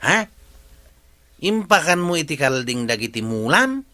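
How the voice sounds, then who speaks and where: A man's voice: fairly high pitch, very animated, measured pace, sharp tone, exaggerated voice. A man with an Ilocano accent playing a stubborn, bantering village goat keeper in a comedy radio drama. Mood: indignant. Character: stubborn, argumentative village man who keeps goats.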